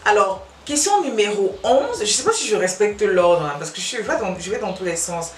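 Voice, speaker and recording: A woman's voice: mid-range voice, very animated, moderate pace, faint music behind. A woman from Cameroon with a Belgian-tinged Cameroonian French accent, chatting casually to camera as a YouTuber, repeating phrases and trailing off. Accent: Cameroonian French with a Belgian touch. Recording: echoey room, mic at a distance.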